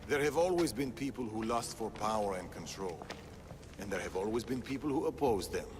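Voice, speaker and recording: A man's voice: on the low side, animated, brisk, lightly acted. A man playing a guarded older rebel, dramatically varied. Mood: solemn. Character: wary, secretive older Persian rebel father and fighter. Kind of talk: dramatic video game acting. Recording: background music under the voice.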